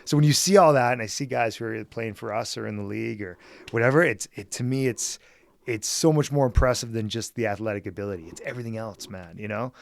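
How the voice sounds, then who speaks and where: A man's voice: medium pitch, fast, gravelly, dry recording. A middle-aged man from Edmonton, Canada, chatting casually and enthusiastically as an interview guest, often adding "man" and "right?". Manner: easygoing, enthusiastic, peppers speech with "man" and "right?". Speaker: guest, former basketball team executive.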